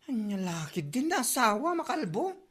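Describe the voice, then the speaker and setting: A woman's voice: fairly high pitch, very animated, normal speed, slightly rough. A woman with an Ilocano accent playing a complaining neighbor in a comedy drama.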